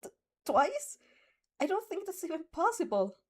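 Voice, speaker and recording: A woman's voice: very high voice, sing-song, normal speed, voice pushed up. A woman voicing a nervous, earnest teenage boy hero who stammers when flustered.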